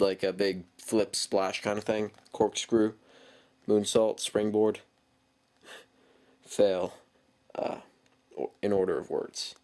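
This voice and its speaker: A man's voice: on the low side, darkish tone. A man with a cold, talking through a casual wrestling show review with lots of ums and self-corrections. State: stuffy from a cold.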